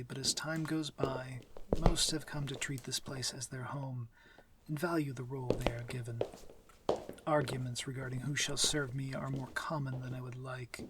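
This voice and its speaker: A man playing a soft-spoken, commanding vampire lord. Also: mid-range voice, heavy background noise, close to an everyday voice. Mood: measured, reserved. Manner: formal, measured, softly breathy, often near a whisper. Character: courteous, commanding male vampire lord of a manor. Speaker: audio roleplay voice actor. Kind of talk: slow, intimate ASMR fantasy roleplay.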